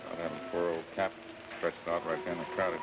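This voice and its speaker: A man's voice: sits low, little pitch movement, brisk, very noisy. A man playing an island resident who reasons aloud, earnestly, about strange deaths, in a 1950s television drama. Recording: muffled 1950s broadcast audio with loud music.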